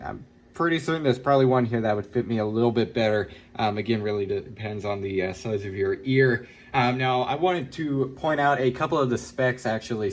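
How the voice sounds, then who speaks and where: Casual, halting man reviewing a product. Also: medium pitch. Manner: halting, hedging, informal. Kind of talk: casual unboxing and review talk.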